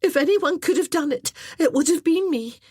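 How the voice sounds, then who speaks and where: A woman's voice: sits high, expressive, moderate pace, darkish tone, no echo, studio quality, voice pushed up. A woman voicing a clumsy, tearful young noblewoman who talks in a breathless, apologetic rush. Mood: nervous, eager.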